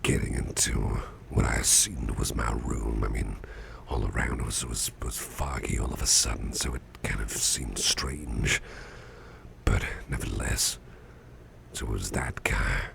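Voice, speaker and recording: Man acting as tired businessman boss, ASMR roleplay, slow murmured delivery, breathy gravelly near-whisper, courteous, folksy, clearly noisy background. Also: a bass voice, steady tone, full character voice. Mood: puzzled, confiding. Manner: unhurried, murmured, folksy and hesitant. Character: weary, courteous businessman boss, recuperating and chatty. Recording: clearly audible background noise.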